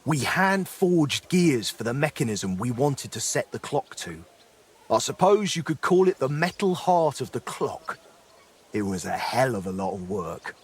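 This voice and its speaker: An actor playing a remorseful middle-aged former blacksmith in a dramatic game, speaking in an earthy, apologetic way. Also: male voice, mid-range voice, big pitch swings, unhurried, slightly rough. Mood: rueful, earthy.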